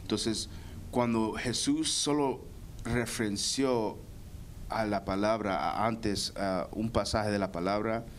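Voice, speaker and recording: A young Guatemalan man with a Guatemalan Spanish accent, giving a halting personal faith testimony full of restarts. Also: lowish voice, a little hiss or hum. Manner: hesitant, many restarts and repeated words.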